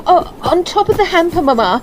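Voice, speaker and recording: A woman's voice: very high voice, sing-song, noisy background. A woman playing a polite, earnest young Edwardian lady in an audio drama, with a formal English manner of speech. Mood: helpful, brisk. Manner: genteel, measured, formal.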